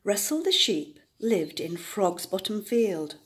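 Older woman reading slowly and very expressively. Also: medium pitch. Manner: deliberate, expressive storytelling with dramatic emphasis. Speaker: children's story reader. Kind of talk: storybook read-aloud for young children.

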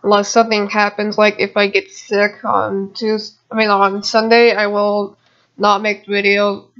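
A gamer talks casually over their own gameplay in a plain, mid-pitched voice. The commentary rambles, with muttered asides to the viewers. It sounds dull and lo-fi, like a home computer microphone. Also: completely flat delivery, measured pace, fairly bright tone.

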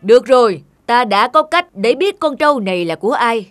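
A man's voice: very high voice, animated, brisk, a bit bright, faint music behind. A man playing a stern, shrewd magistrate with a formal, authoritative manner in a cartoon folk tale. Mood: commanding. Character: stern, shrewd male mandarin judge.

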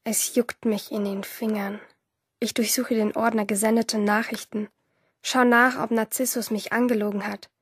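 A woman's voice: a little high, flat delivery, moderate pace, slightly rough, dry recording, clean studio sound. An actress playing a rebellious, intense and jealous teenage girl swimmer, narrating and speaking in a crime radio play. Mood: conspiratorial narrating. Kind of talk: first-person audio drama narration and dialogue in a crime radio play. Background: music behind the voice.

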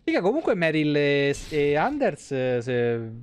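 A man's voice: fairly high pitch, big pitch swings, a little slow, a little mellow, slight background noise. A man in his thirties giving casual, joking, foul-mouthed live commentary on a game. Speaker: game streamer. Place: small indoor streaming room at home. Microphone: close streaming microphone.

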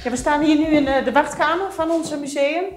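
A woman's voice: high-pitched, fairly quick. A woman working as a museum guide, explaining things in a friendly way with plenty of hesitations.

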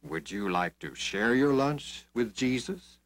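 A man playing a kindly disciple speaking gently to a child in a Bible storybook. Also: mid-range voice, expressive, slow pace.

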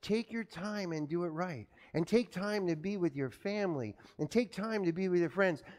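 A pastor giving a children's sermon in a church, telling an animated story and asking the children questions. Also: male voice, high voice, moderate pace. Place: church sanctuary. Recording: echoey church from far off.

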